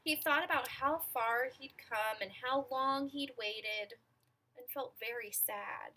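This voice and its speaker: A woman reading a children's picture book aloud in an expressive, dramatic manner, with asides to young listeners. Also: sits high, slow pace, slight background noise, rough recording. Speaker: storybook reader.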